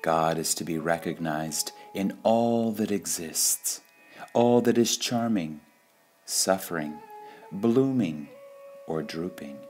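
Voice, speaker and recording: A man reads short spiritual sayings in a measured, deliberate devotional style, pausing between phrases. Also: deep voice, darkish tone. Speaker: reader of spiritual quotations. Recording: music under the voice. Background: background music.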